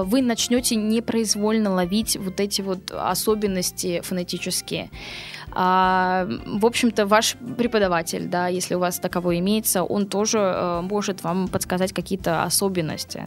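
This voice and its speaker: A woman who teaches English, answering interview questions in a relaxed, chatty way, laughing often and filling pauses with hesitation sounds. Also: medium pitch. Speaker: English teacher and school co-owner.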